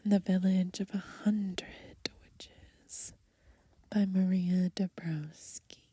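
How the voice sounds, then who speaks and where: A young woman doing soft-spoken ASMR in a gentle, unhurried, breathy whisper, recorded close to the microphone outdoors. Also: lowish voice, steady tone. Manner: gentle, unhurried, softly murmured. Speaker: ASMR video host. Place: outdoors on grass in light wind.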